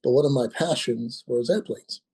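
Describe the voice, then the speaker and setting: A man of about sixty, from Nigeria, answering as a guest in a relaxed interview. His voice is husky and mellow. He hesitates a lot, stopping and restarting his phrases. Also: medium pitch, normal speed. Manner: hesitant, many ums and restarts. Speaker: guest, company founder. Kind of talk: casual interview talk.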